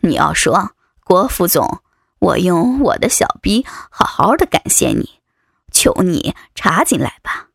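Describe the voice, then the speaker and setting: A woman voicing a domineering, taunting male vice president in an adult audiobook, with a husky, creaky voice and a mocking, commanding manner. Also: fairly low pitch, big pitch swings, a little slow, no echo, clean studio sound, voice pushed down, heavily acted. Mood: smug, demanding. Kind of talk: dramatized adult audiobook dialogue.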